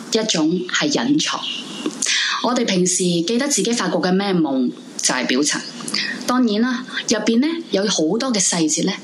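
A woman's voice: on the low side, noisy background, lightly acted. A woman playing a troubled, sleepless young woman in a supernatural radio drama, narrating and speaking over music. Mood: explanatory. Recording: music behind the voice.

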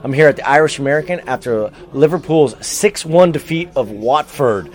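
A man's voice: on the high side, moderate pace, some chatter behind. A man interviewing football fans in a bar for a podcast, with short friendly questions. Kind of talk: field interview. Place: bar in a city.

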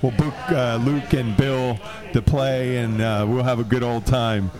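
A man's voice: mid-range voice, steady tone, measured pace, music in the background. A man hosting a casual podcast, rambling and laughing often, trailing off mid-sentence. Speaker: podcast host. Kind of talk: casual banter.

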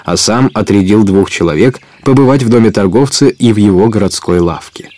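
Male narrator, lowish voice, scripted audio story, dry recording. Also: a little fast, darkish tone, no echo.

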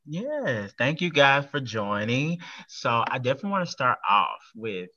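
A man's voice: medium pitch, sing-song, normal speed, bright, forceful tone, muffled recording, slight background noise. A man in his twenties hosting a podcast, asking casual, rambling questions. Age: 20s. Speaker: podcast host.

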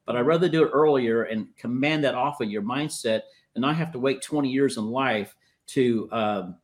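A man in his fifties with a speech impediment, preaching and praying spontaneously in a halting, repetitive way on a livestream. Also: mid-range voice. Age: 50s. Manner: halting, repeats and stumbles over words. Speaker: preacher leading prayer. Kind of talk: spontaneous charismatic prayer and exhortation. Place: online livestream call.

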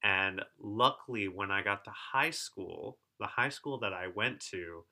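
Chatty man with a bright edge. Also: lowish voice, a little slow, gravelly. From Korea. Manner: relaxed, chatty, frequent restarts and "right?" checks. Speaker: podcast co-host. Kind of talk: casual conversational storytelling.

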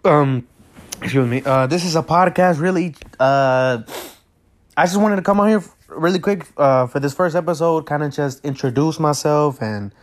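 A man, his voice a bit high for a man, introduces his casual podcast. He rambles and hesitates, filling gaps with ums and uhs. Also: moderate pace. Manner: rambling, hesitant, many fillers. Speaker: podcast host.

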